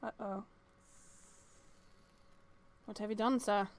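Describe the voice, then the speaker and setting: A woman hosting a let's-play of a visual novel, reading the text aloud with expressive, exclamatory reactions and the odd stumble. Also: medium pitch, takes it slowly.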